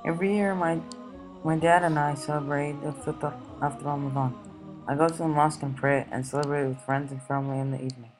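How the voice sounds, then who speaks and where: A teenage boy from the United States reading his school essay aloud in a steady, plain way. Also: fairly high pitch, fast talker, soft background music, low-quality recording. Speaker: student.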